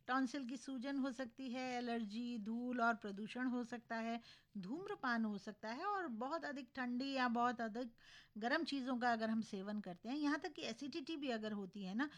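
A woman radio co-host with a sore, scratchy throat, explaining health matters in a relaxed conversational way. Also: a little high. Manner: explanatory, conversational, addresses listeners. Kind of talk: casual radio health chat.

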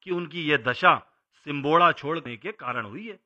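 An actor playing a patient parent who narrates history to a child in a scripted, explanatory storytelling style. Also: male voice, fairly high pitch, normal speed, sharp tone. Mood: explaining. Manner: explanatory, emphatic. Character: patient elder man telling history to his child. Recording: slightly dull, lo-fi audio.

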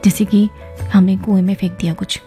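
A hoarse woman hosting a live show, chatting warmly with listeners and reading out their messages and greetings. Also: medium pitch, level tone, fairly quick, soft background music. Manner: friendly, addresses listeners directly, frequent "hai na". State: hoarse from a viral illness. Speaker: host of a message-based request show.

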